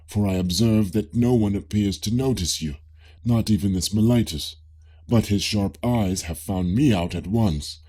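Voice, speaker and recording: A man's voice: low-pitched, mellow. A man voicing an inquisitive, mock-humble ancient Greek philosopher, formal and earnest, in an audiobook dialogue. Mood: earnest, questioning.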